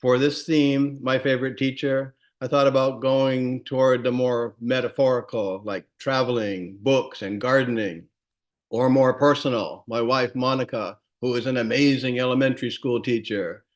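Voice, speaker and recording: A man who is a teacher, reading his own poem aloud on a video call in a measured, storytelling way. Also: medium pitch, steady tone. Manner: measured, warm storytelling recitation. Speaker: poet and teacher.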